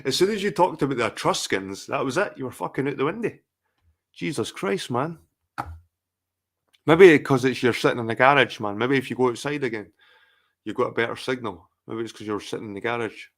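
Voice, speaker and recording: A man's voice: mid-range voice, animated, a little fast, fairly bright tone. Rambling, profane middle-aged man. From England. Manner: profane, rambling, repeats himself. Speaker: livestream host. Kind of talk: casual ranting livestream chat.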